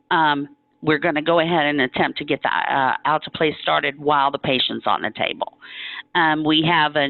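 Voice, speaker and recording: Gravelly-voiced woman on a phone line. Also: deep voice, dry recording. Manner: conversational, halting, self-correcting, emphatic. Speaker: hospital stroke coordinator presenting. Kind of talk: informal explanatory conference-call presentation. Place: conference call. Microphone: telephone. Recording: thin, narrow-band telephone line.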